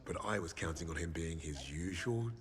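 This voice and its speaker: An actor playing a calm, warm-hearted leader of captured soldiers in a war game, measured and composed. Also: male voice, low-pitched, a crowd in the background. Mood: assured. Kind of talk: cinematic war game acting.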